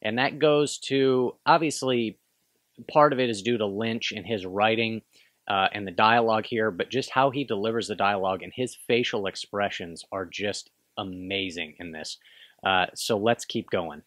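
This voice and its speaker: Man in his thirties breaking down a film scene, deepish dry voice, loose rambling delivery, close mic. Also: moderate pace, fairly bright tone, no echo. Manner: rambling, hesitant, full of restarts and "kinda". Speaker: film review host. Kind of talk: casual film analysis. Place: small room with bookshelves. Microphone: studio microphone close in front.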